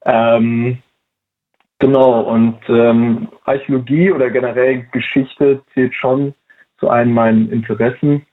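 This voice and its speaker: A man's voice: medium pitch, flat delivery, measured pace. A man of twenty answering interview questions in a casual, hesitant way, with many fillers and restarts. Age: 20s. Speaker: interview guest, volunteer at a museum. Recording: tinny phone-like line.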